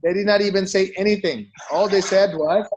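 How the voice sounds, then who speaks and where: A middle-aged man from the Philippines recounts his story with emphasis, leaning on "you know" and "okay?" as he talks. His voice sits a bit high for a man, with faint noise behind him. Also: fairly even intonation, brisk, a little mellow, dull audio. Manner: emphatic, repeats for stress, peppers talk with "you know" and "okay?". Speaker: company CEO. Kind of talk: personal story in an interview.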